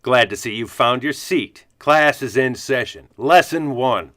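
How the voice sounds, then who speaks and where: A man's voice: mid-range voice, unhurried, a bit bright, dry recording, studio quality, lightly acted. A man acting the part of a gregarious, wry, fatherly bartender to superheroes in a comic radio play. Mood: worldly, coaxing.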